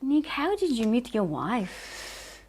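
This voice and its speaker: A woman's voice: fairly high pitch, very animated, slow pace, darkish tone. A woman hosting an interview in a bar, asking polished, upbeat questions. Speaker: host. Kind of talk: interviewing a guest.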